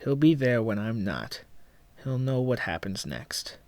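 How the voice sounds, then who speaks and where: A man playing a weary, remorseful letter writer in an audio drama, confessing in a halting, regretful monologue. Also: medium pitch, very animated, a little mellow, dry recording.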